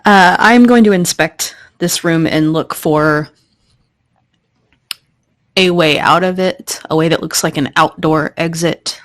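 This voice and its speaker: Low-voiced woman role-playing. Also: slow. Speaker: player in a tabletop role-playing game. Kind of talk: casual in-character role-play.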